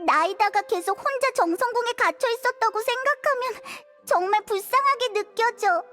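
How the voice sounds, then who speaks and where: A woman voicing a tiny, chatty, childlike fairy companion in a game, cute and expressive. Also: extremely high pitch, normal speed, faint music behind. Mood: worried, pitying. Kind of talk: cartoonish game voice acting.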